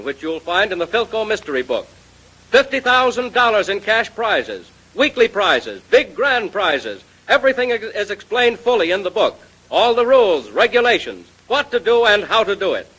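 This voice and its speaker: A man announcing in 1930s radio style, giving a scripted pitch in an emphatic, urging manner. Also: a bit bright, husky, rough recording.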